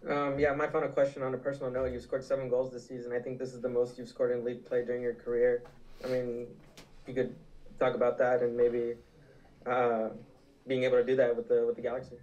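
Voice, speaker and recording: A man, a sports reporter, putting a quick question at a press conference. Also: mid-range voice, completely flat delivery, a little mellow, highs cut off.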